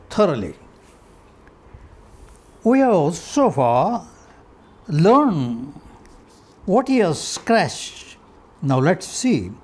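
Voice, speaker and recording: A man with an Indian accent reading a scholarly article aloud in deliberate, halting short phrases, his voice husky. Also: medium pitch, a little mellow. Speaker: reader of a philosophy article. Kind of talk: read-aloud scholarly article with Sanskrit verse.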